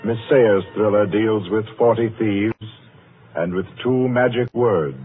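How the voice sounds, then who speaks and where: Low-voiced old-radio suspense narrator, tinny recording. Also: male voice, a little hiss or hum. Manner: dramatic, measured, formal. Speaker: announcer and narrator.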